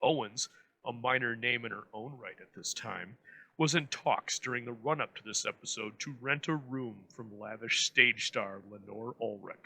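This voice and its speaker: Man, scripted narration, florid dramatic storyteller, very bright forceful tone, creaky edge. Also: mid-range voice, normal speed, low-quality recording. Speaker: episode presenter.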